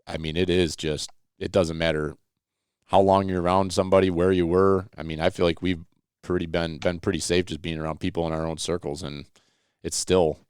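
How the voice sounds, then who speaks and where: A man with a very deep, resonant voice hosts a casual talk show. He rambles good-naturedly and jokes along, recorded close and dry. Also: little pitch movement, fairly quick, darkish tone, clear, resonant tone, no echo. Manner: rambling, joking, jovial. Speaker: podcast host taking live calls. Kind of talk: casual outdoors podcast chat.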